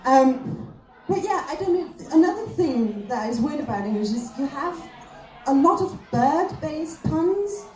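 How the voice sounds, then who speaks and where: High-voiced woman doing stand-up, echoey room. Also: sing-song, unhurried, a little mellow. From Austria. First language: German. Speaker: stand-up comedian. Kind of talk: live stand-up comedy routine. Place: comedy festival venue, live on stage. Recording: echoey live room recording.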